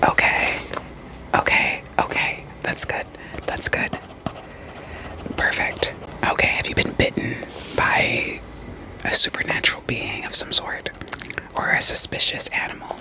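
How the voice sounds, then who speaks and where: A woman playing a calm, reassuring therapist in an ASMR roleplay, whispering softly and breathily with gentle, deliberate questions. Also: lightly acted, poor audio. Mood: gentle, probing. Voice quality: soft, breathy, mostly unvoiced whisper. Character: calm, reassuring female therapist screening patients for werewolves.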